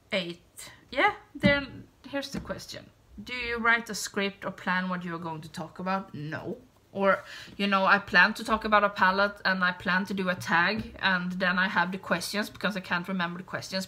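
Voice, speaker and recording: A woman with a Swedish accent, a native Swedish speaker, chatting casually to the camera in a light voice, rambling and hesitant, trailing off softly. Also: mid-range voice. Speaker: beauty YouTuber. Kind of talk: casual tag video chat.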